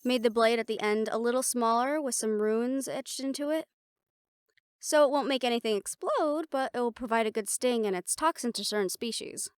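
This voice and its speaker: Woman playing a higher-voiced, confident siren warrior. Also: normal speed, clear, resonant tone, dry recording, studio quality, close to an everyday voice. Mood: proud, amused. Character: confident, playful siren-banshee woman, warrior readying for battle. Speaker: audio roleplay voice actor. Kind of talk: intimate scripted audio roleplay to listener.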